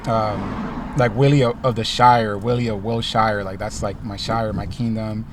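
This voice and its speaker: Laid-back young man, slightly low voice. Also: fairly even intonation, unhurried, recorded from a distance, background noise. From Los Angeles. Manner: laid-back, many ums and likes. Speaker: rapper, interview guest. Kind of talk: casual interview answers. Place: inside a parked car.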